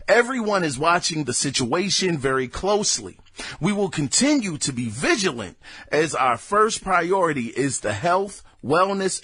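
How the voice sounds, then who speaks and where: A young adult man hosting a casual gaming news podcast, hoarse, excitable and prone to loud bursts and folksy asides. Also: high-pitched, no room sound. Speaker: podcast host.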